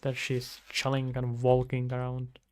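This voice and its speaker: A man's voice: medium pitch, monotone, measured pace, clear, resonant tone. A man, chatting casually on a livestream as he works and thinking aloud to himself and his viewers. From Czech Republic. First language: Czech. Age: 20s. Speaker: streamer, indie game developer. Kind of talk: casual livestream chat while working. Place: indoors at a computer.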